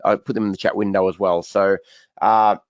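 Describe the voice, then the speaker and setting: A quick-talking man with a croaky voice hosts a live trading webinar, chatting through his charts with plenty of restarts. The audio sounds a little dull and very dry, with no room sound around him. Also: low-pitched, little pitch movement, dry recording. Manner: chatty, repeats words and restarts, many fillers. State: croaky, recovering voice. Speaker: trading educator hosting a webinar Q&A.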